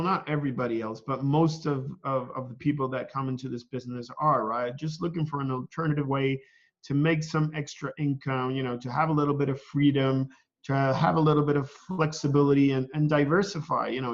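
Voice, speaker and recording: A man from Venezuela, a guest on a casual call interview, talking in a halting way and repeating words as he thinks. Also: mid-range voice, highs cut off. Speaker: guest, online seller.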